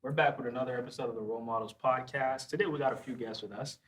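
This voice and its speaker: A man's voice: mid-range voice, rapid-fire, soft background music. A man hosting a casual podcast panel, talking loosely with lots of fillers and trailing asides. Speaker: podcast host.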